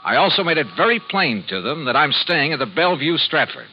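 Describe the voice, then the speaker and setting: A man's voice: a little high, very animated, a little fast. An American man playing a shrewd, cool insurance investigator in a 1950s radio detective drama, with a wry, clipped, colloquial delivery. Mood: calculating, brisk. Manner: wry, clipped, colloquial, narrates as well as converses. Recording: muffled vintage radio recording, faint music.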